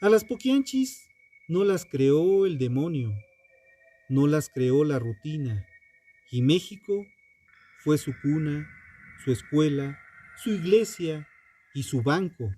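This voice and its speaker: A man narrating a scripted true crime story with dramatic, deliberate phrasing and pointed pauses. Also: fairly high pitch, animated, dark tone. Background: music under the voice.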